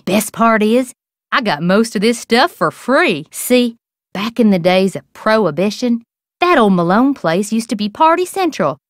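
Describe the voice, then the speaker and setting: A woman acts out a small-town bait shop keeper in a video game. She has a rural American accent and a smooth, clear voice, recorded dry. Her delivery is folksy and gossipy, full of wry, opinionated drawl. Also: mid-range voice, fairly bright tone, no room sound, lightly acted. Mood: chatty, sly. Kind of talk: video game character dialogue.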